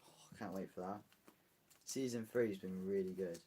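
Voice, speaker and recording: A man's voice: low-pitched, moderate pace, mellow, slight background noise. A British man streaming live, chatting casually and rambling as he answers viewer comments. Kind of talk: casual livestream chat.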